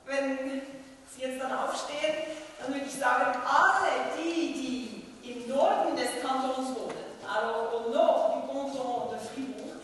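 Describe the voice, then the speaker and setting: A native German-speaking woman with a German-speaking accent addresses a live audience in a high voice. She talks slowly and haltingly as an event moderator. The room is strongly reverberant. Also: steady tone. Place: large echoey indoor room at a live event. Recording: very echoey hall, far from mic, background noise.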